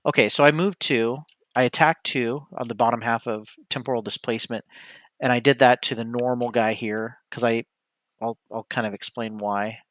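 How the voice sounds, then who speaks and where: A man gives casual play-by-play of his own solo tabletop game in a medium-pitched voice. He thinks aloud and often stops to correct himself. The sound is dry and close, with little room in it. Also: fairly even intonation, normal speed, a bit bright, no room sound. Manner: hesitant, self-correcting, talks through each move. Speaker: streamer playing a board game solo.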